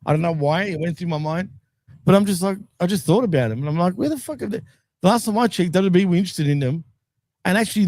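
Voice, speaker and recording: A relaxed man co-hosting a chat show, his voice mellow and a touch higher than most men's. He laughs easily and swears casually. The sound is dry and close, with no room around it. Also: no room sound. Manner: laid-back, laughs often, swears casually. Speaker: co-host.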